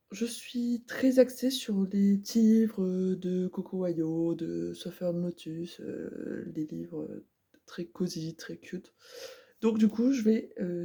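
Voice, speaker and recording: Twenties woman vlogger with a cold, stuffed-up and slightly husky, warm dark tone, chatty with lots of "euh". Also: lowish voice. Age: 20s. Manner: chatty, many hesitations. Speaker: craft vlogger. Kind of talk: casual chat.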